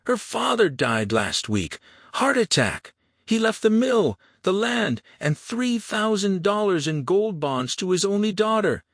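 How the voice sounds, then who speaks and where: A man's voice: high voice, very animated, a little slow, fairly bright tone, no echo, studio quality, pitched above the performer's own voice, exaggerated voice. A man voicing a sneering, pompous, cowardly shopkeeper in an Old West audiobook, indignant and flustered. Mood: shrill, desperate.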